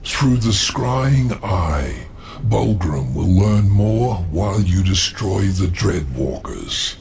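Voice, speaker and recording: An actor playing a sly, calculating demon lord in a video game, gravelly, formal and measured. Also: male voice, deep voice, lively intonation, darkish tone, a little hiss or hum, full character voice. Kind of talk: dramatic video game cutscene acting.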